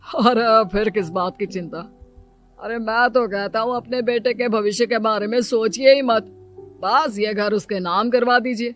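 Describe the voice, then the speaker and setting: Meddling male family friend for a moral tale, acted by a man: strikingly high voice, exclamatory, persuasive, greedy-minded. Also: fairly even intonation, unhurried, fairly bright tone, soft background music. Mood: persuasive, scornful. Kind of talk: dramatised Hindi moral story acting.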